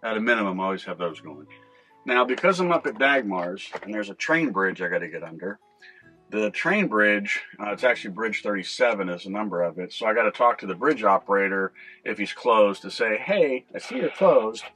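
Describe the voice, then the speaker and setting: An older man hosting a boating video, talking casually and haltingly as he explains things inside a small boat cabin. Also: fairly low pitch, animated, bright, forceful tone, slightly rough, soft background music, low-quality recording. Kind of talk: casual explanatory vlog talk.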